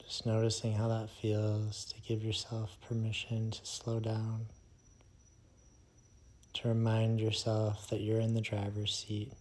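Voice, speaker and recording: A man leading a guided meditation in a soothing, hushed manner, leaving long pauses between phrases. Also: on the low side, monotone, slight background noise.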